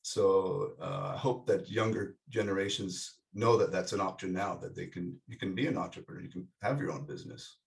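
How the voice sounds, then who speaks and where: Man, low gravelly voice, somewhat distant from the mic, relaxed storyteller, video call. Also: brisk, hardly any pauses. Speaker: guest. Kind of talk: casual conversation.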